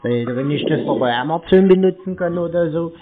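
Casting: man acting as clueless, colloquial male prank-call customer, fairly high voice, rambling, thin phone sound. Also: a little fast, crowd noise, close to an everyday voice. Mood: naive, chatty. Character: naive, rambling, slow-witted male scam-call victim. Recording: tinny, muffled phone line.

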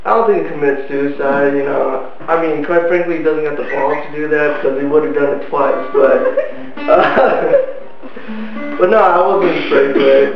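A man's voice: fairly high pitch, moderate pace, heavy reverb, highs cut off, faint music behind. A young man from Vietnam whose first language is Vietnamese, rambling with lots of fillers in a joking home-video interview. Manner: rambling, full of "you know" fillers.

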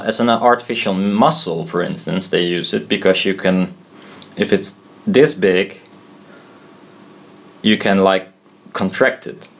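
A man explaining a homemade build informally at a desk, thinking aloud, blunt and halting, often correcting himself. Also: low-pitched. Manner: halting, self-doubting, trailing off and correcting himself. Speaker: maker explaining a DIY build. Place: indoors at a desk.

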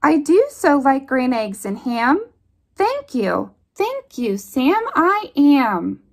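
A woman reading a rhyming picture book aloud for young children in an expressive, singsong, deliberate rhythm. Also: high voice. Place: indoors at a table.

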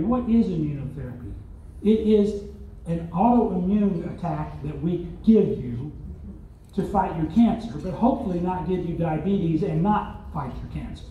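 An older Southern man delivers a keynote in a conversational, wry way, firing off rhetorical questions. His voice sits fairly high but sounds mellow. It booms around a large, echoey hall, captured from far back in the audience on a dull-sounding phone. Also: a little hiss or hum. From Arkansas, US. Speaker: keynote speaker. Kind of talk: conference keynote speech. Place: large hotel conference hall. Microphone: far phone camera in audience.

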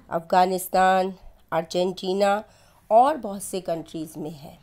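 A woman with an Indian accent explaining civics points one by one in a steady, explanatory voice-over for a slide lesson. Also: mid-range voice. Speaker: teacher.

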